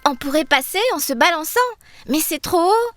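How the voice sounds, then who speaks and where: A woman voicing a brave, curious little girl in a children's cartoon, eager and expressive as she explains things. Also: sits high, moderate pace, slight background noise.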